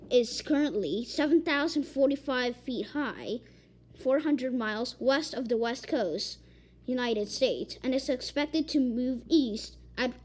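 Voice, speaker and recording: A woman reading a made-up emergency alert, halting and stumbling over repeated words. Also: sits high, a little mellow. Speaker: amateur emergency alert announcer. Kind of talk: improvised emergency broadcast reading.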